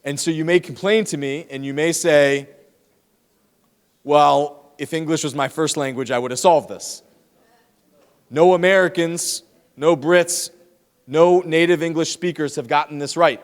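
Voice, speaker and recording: A man giving a lively, teasing lecture to students in a large hall, speaking into a handheld microphone. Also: a little high, measured pace, pauses often, clean tone. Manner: lively, teasing, talks straight to the audience. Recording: long hall reverb.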